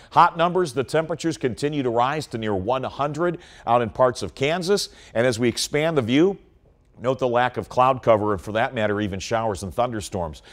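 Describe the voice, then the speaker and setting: A middle-aged American man presenting a live weather forecast in an emphatic, explanatory manner. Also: mid-range voice. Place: TV studio in front of weather screen.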